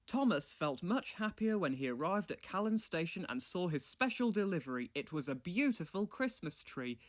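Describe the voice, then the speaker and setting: Casting: male children's read-along narrator, high pitch, lively melodic delivery, scripted storytelling. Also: moderate pace.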